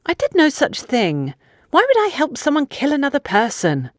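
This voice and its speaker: Woman acting a defensive young woman, pitched up. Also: wildly swooping pitch, moderate pace, no room sound, studio quality. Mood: indignant, defensive. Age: young adult. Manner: accusatory, indignant. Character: defensive, suspicious young woman under questioning.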